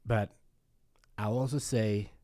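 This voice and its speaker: Male podcast host, low voice, mellow tone, dry close sound, reflective, casual chat. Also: steady tone, slow pace, no echo. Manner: reflective, thinks aloud with many "you know" and "like" fillers.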